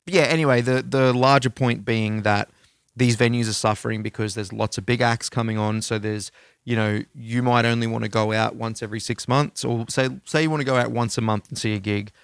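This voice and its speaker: Australian male podcast co-host, informal chat, gravelly edge on a smooth voice, close dry sound. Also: mid-range voice, fairly even intonation, a little fast, no echo. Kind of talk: casual music podcast chat.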